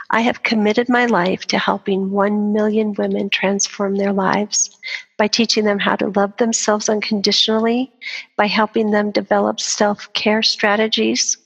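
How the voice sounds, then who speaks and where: Older woman, husky gravelly voice, earnest inspirational storyteller, long reverb. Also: mid-range voice. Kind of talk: reading a personal story aloud.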